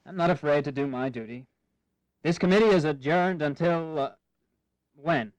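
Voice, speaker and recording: An actor playing a modest, courteous gentleman statesman from Virginia in a historical audio drama, reluctant and formal, with a hesitant manner. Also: a little high, normal speed, dry recording, close to an everyday voice, low-quality recording. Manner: hesitant, formal, mildly flustered.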